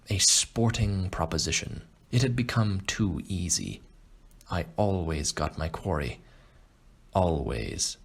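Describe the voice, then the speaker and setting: A man playing a refined, boastful aging Russian aristocrat and hunter in an audiobook, speaking in a measured, pompous way. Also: low-pitched, slightly rough, exaggerated voice. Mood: self-assured. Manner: pompous, measured, self-satisfied. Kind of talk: audiobook character acting.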